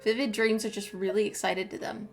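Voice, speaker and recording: A woman's voice: mid-range voice, level tone, brisk. A woman game streamer giving casual live reactions in short, sympathetic, cooing asides. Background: game music.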